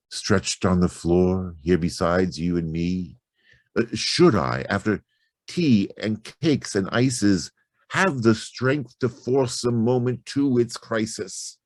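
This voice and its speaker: A man reciting poetry in a theatrical, deliberate manner with long pauses between phrases. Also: low voice, a little mellow, no echo, clean studio sound. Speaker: poetry reader.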